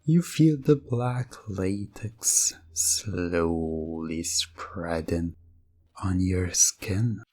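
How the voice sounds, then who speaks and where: A man delivering scripted hypnosis ASMR narration in a deliberate, commanding manner. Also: lowish voice, a little mellow. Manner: slow, deliberate, commanding second-person delivery. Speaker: hypnosis ASMR role-play performer.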